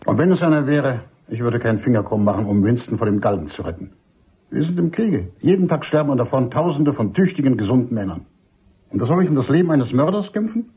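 A man playing an older army major and doctor in a radio drama, husky, emphatic and cynical, courteous but dismissive. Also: mid-range voice, very animated, quick pace, warm tone. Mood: firm, scornful. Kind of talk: German radio drama acting.

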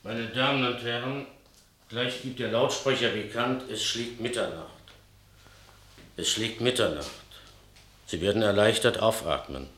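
A man's voice: on the low side, measured pace, long pauses, fairly bright tone. An actor playing a lonely, fearful, longing man in a radio play, confessing in a brooding, hesitant monologue. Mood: solemn. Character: lonely, self-confessed coward, melancholy and longing.

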